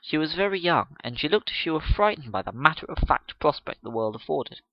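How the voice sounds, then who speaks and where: A man's voice: a little high, animated, a little fast, no echo. A man reading a classic novel aloud as an amateur narrator, in a dramatic storytelling style on a home recording. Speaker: amateur audiobook reader. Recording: slightly dull home recording.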